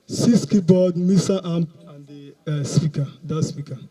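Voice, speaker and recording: A man's voice: fairly high pitch, unhurried, mellow, rough recording. A man in his thirties from Nigeria, with a Nigerian accent, answering questions publicly as a suspect on a handheld microphone outdoors. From Anambra, Nigeria. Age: 30s. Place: outdoors in a police compound.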